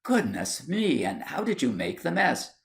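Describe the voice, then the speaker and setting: A man's voice: on the high side, sing-song, unhurried, vocal fry, pitched above the performer's own voice, full character voice. A man voicing a fretful, overwrought wife in a storybook tale, exclamatory and breathless. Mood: alarmed.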